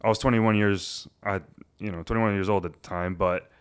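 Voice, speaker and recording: Low smooth-voiced young Cuban-born man. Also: moderate pace. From Cuba. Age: 20s. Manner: relaxed, rambling with many fillers. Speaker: guest, realtor. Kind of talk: casual interview chat.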